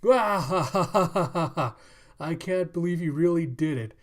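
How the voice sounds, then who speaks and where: A man's voice: on the high side, normal speed, mellow, voice pushed up, close to an everyday voice. A man voicing a mocking, jeering thug who works at a pleasure house. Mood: gleeful mockery.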